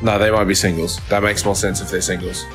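A man's voice: deep voice, little pitch movement, fast, over music. A man co-hosting a podcast in casual, laid-back, slangy chat. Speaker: podcast co-host.

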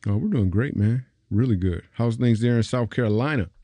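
A man's voice: mid-range voice, fairly quick, warm tone, no echo. A man hosting a comedy podcast, joking and teasing playfully and laughing often. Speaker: podcast host. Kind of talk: casual comedy podcast banter.

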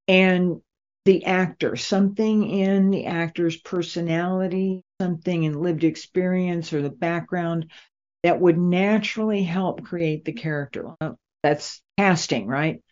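A woman interviewing a guest in a deliberate way, pausing between phrases. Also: fairly low pitch, darkish tone. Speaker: interviewer, radio drama maker. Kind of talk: unhurried interview talk. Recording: dull, lo-fi sound.

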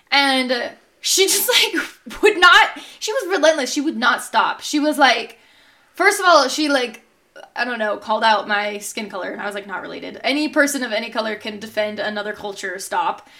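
Young woman podcaster, bright higher voice, chatty and worked up, says like a lot. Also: lively intonation, moderate pace. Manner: chatty, heated, lots of "like". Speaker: podcast co-host. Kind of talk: casual chat.

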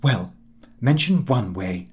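A man voicing an overbearing lady houseguest in a comic novel, sharp and insistent. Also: medium pitch, expressive, slow pace.

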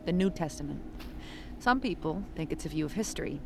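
A woman's voice: low voice, animated, fairly quick, creaky, very noisy. A woman playing a cool, guarded police detective in a naturalistic audio drama. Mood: guarded, reflective.

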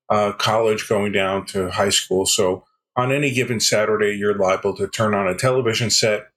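Low-voiced older man, measured. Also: fairly bright tone, rough recording. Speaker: podcast guest, sales executive. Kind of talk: relaxed interview answers.

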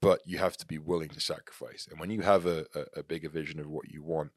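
Deep-voiced English man in his thirties. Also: fairly even intonation, fast talker, husky, no room sound, studio quality. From England. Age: 30s. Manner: reflective, earnest, candid. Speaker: podcast guest. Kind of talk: casual interview talk.

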